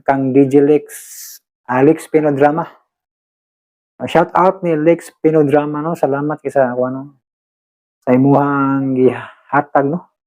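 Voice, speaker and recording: A man's voice: mid-range voice, steady tone, a little slow, pauses often, darkish tone. A man with a Cebuano Filipino accent chatting casually to his listeners as host, rambling and hesitant, often repeating himself. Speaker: host and drama uploader. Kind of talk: casual chat to listeners, shout-outs.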